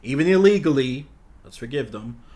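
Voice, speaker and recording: A man's voice: mid-range voice, animated, normal speed, a little mellow. A man talking casually as a podcast guest, blunt and outspoken, rambling with frequent restarts. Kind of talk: casual conversation.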